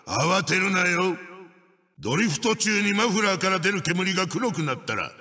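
A man's voice: high-pitched, expressive, moderate pace, bright, forceful tone, big-room sound. A man playing an evil wooden mask spirit in a video game, commanding and pompous as he barks out racing instructions. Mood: instructing, bossy. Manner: commanding, archaic pompous phrasing. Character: evil wooden witch-doctor mask spirit, bossy and menacing.

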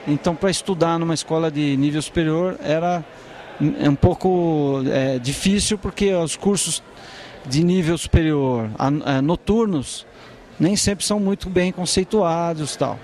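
Forties man, student and business owner, measured and hesitant, slightly high voice, handheld mic in a busy campus corridor. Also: darkish tone. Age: 40s. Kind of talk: documentary interview. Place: university corridor with students behind.

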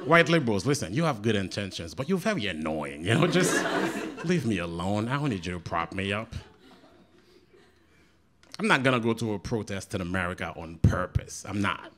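A young man from Haiti, doing a stand-up comedy set on a handheld mic, dry and conversational with loud punchlines. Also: fairly low pitch, big pitch swings, normal speed, heavy background noise. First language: Haitian Creole. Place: small indoor comedy club with live audience. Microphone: handheld stage mic. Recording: live club recording with loud audience laughter. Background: audience laughing.

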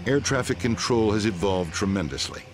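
A man's voice: on the low side, animated, fairly quick. A man reading scripted narration as a documentary narrator. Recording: music under the voice. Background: background music.

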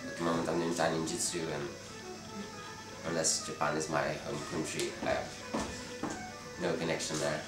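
A young man from Belgium answering interview questions in a relaxed, hesitant way, often restarting his sentences. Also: very deep voice, normal speed, pauses often. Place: dim bar with red walls, indoors. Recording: roomy, distant sound with loud music behind.

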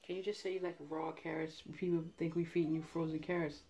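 A woman in her thirties talks to camera in a relaxed home vlog, chatty and teasing. Her voice sits a little low, and she is filmed close on a phone held at arm's length on an indoor staircase. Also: fast talker, a little hiss or hum. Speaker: family vlogger. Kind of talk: casual home vlog chat.

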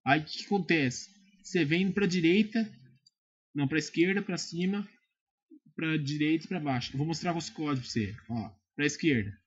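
A Brazilian man giving a casual, informal screen-recorded software tutorial, often checking that the listener follows. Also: on the high side, moderate pace, breathy edge, dull audio. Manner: informal, repetitive check-in phrases. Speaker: tutorial maker. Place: indoors at a computer.

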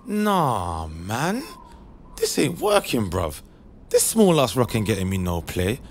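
A man's voice: fairly low pitch, wildly swooping pitch, slow, full character voice. A man playing a jealous, hot-headed young London street lad, slangy, indignant and confrontational in a comic dub. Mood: aggrieved, muttering.

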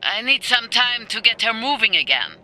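Young female voice, animated, cheeky mech engineer, video game acting. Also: on the high side, normal speed, full character voice, low-quality recording. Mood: indignant. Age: young adult.

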